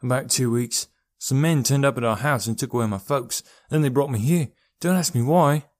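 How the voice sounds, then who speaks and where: A man's voice: mid-range voice, normal speed, a little mellow, no echo, studio quality, pitched above the performer's own voice, lightly acted. A man voicing a mischievous, chatty young boy in an audiobook, with a teasing, breezy, wry manner. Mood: offhand, conspiratorial. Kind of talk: audiobook character voice.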